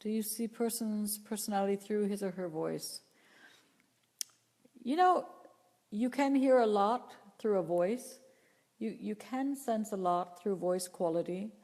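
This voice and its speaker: A woman voice teacher answering viewer questions live, talking in an unhurried, earnest way and often repeating or restarting her phrases. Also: medium pitch, darkish tone, in a large hall. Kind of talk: live Q&A talk, reading chat questions.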